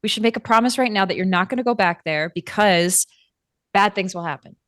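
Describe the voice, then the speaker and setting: A woman playing a caring, protective friend in a tabletop roleplay, speaking gently and haltingly with careful wording. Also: mid-range voice, no room sound, studio quality, natural voice. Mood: coaxing, protective.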